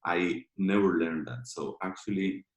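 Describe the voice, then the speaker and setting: A man with a Colombian Spanish accent and a very low, deep voice, talking over a video call. He speaks in halting phrases, leaning on "like" and restarting his sentences. The sound is echoey, as if from a bare kitchen. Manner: halting, many filler "like"s and restarts. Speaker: guest speaker. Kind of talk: casual talk on a video call. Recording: echoey room.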